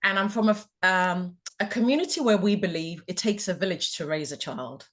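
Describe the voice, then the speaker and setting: A woman from Ghana hosting a podcast, asking reflective, measured questions. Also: fairly low pitch, animated. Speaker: podcast host. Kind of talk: interview conversation.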